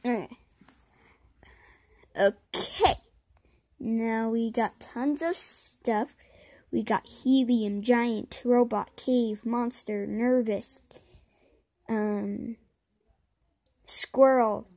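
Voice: mid-range voice, steady tone, very slow, pauses often, mellow. A child hosting a casual video, trying out and showing a phone app. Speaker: video host.

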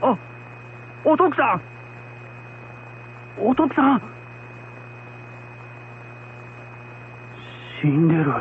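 A man's voice: very high voice, sing-song, takes it slowly, full character voice. A man playing a plain-spoken village husband in a folktale radio drama. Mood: alarmed. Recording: muffled lo-fi, noisy with hum.

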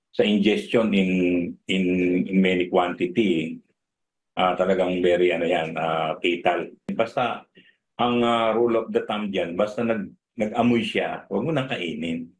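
A middle-aged man, a regional fisheries official, answering interview questions over a video call from his office, halting and switching between languages mid-sentence. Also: low-pitched, rough recording. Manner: halting, mixes in English, many fillers and restarts. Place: indoor office. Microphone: video call webcam.

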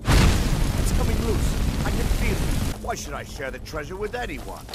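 An actor voicing a frightened, greedy, treacherous thief pleading in a video game. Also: male voice, sits high, sing-song, measured pace, heavily acted. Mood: eager, scheming. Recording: game audio under clear noise, quiet.

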